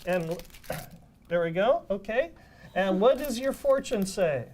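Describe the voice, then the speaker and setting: A man's voice: sits high, animated, takes it slowly, a little mellow, a little hiss or hum. A man hosting a business talk show, prompting his guest and introducing a segment. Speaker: show host.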